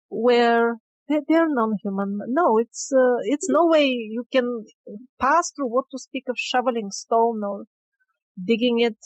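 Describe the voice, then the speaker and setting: A woman's voice: a little high, no echo, rough recording. A woman answering questions as an interview guest, conversational and sometimes searching for words.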